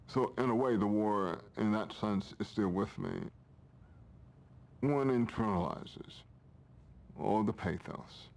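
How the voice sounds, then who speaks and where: An older man speaking in measured, formal phrases in a reflective interview. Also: lowish voice, gravelly, darkish tone. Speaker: writer and Vietnam war veteran.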